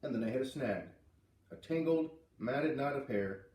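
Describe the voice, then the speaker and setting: A man reading a children's novel aloud as an expressive storyteller. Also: medium pitch, moderate pace. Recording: roomy echo, distant from mic.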